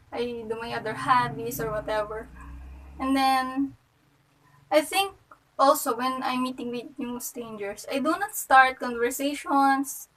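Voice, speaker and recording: A woman's voice: high voice, a bit bright. A woman aged twenty-two with a Filipino accent, explaining her thoughts in a hesitant, reflective way. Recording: distant microphone.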